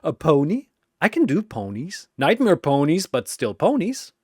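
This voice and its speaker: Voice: mid-range voice, very animated, measured pace, darkish tone, slightly rough, no room sound, clean studio sound, voice pushed up. A narrator voicing a manic, gleeful triangle demon with a mocking, theatrical delivery in an audio story. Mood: gleeful, excited.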